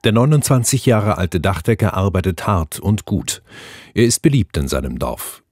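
A man's voice: low voice, animated, moderate pace, a little mellow, dry recording. A man reading scripted true-crime narration in short, dramatic sentences, recorded in a studio. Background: background music.

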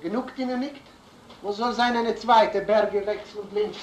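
An actor playing a grumbling, homesick Italian roadside trader with an Italian accent and broken German. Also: male voice, high voice, steady tone, a little slow, echoey room, noisy background. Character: grumbling, homesick Italian man selling roadside fuel, haggling.

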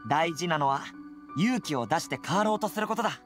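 Game role: supportive youthful male general played by a man, direct, expressive pitch, light music behind. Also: fairly quick, natural voice. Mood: encouraging. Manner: encouraging, straightforward. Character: supportive, earnest young male dog-eared general.

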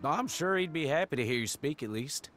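An actor playing a friendly, frank ranch worker in a western video game. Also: male voice, fairly high pitch, animated, a little fast, a bit bright, a little hiss or hum. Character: friendly, plain-spoken male ranch worker of the Old West. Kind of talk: video game cutscene acting.

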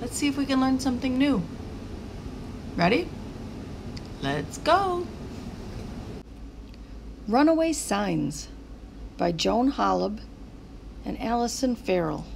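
Lady teacher reading a storybook out loud, slow and super singsongy, bit creaky, some bg noise. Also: on the high side, big pitch swings. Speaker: teacher reading a picture book aloud. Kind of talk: animated children's read-aloud narration.

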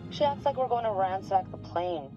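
An actress playing an eager, daring young woman diver in a game cutscene, excited and playful. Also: mid-range voice, normal speed, over music, close to an everyday voice. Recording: muffled over game score.

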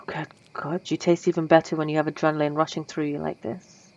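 A woman voicing a refined, possessive female vampire centuries old, speaking in a formal, old-fashioned way in a soft, intimate ASMR roleplay. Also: low voice, little pitch movement, normal speed, lo-fi sound. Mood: sultry, possessive.

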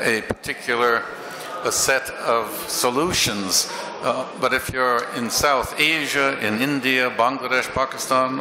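Older man moderating in an echoey hall. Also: medium pitch. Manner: measured, many pauses and fillers. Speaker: panel moderator. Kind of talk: conference panel discussion. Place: large conference hall stage. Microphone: table microphone.

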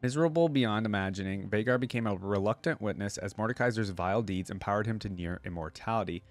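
A young man with a fairly low voice talks casually on a close, dry microphone. He hosts like a reaction streamer, moving between reading text aloud and thinking out loud in a conversational way. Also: dry recording. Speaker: reaction video host. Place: indoor gaming room. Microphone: close desk microphone.

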